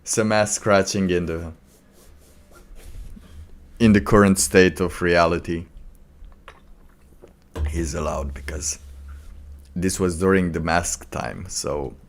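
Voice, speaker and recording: A man giving casual, off-the-cuff commentary as a streamer, in a halting, rambling way. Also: sits low. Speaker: streamer reacting to a video.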